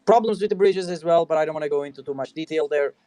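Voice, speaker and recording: A man with a fairly high voice hosts a casual talk show conversation. His sound is dry and close. He keeps dropping you know into his sentences. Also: racing, dark tone, dry recording. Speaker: host.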